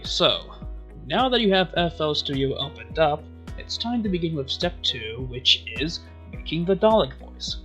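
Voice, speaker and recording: Male tutorial narrator, highish and very lively, theatrical emphasis, music underneath. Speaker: tutorial host.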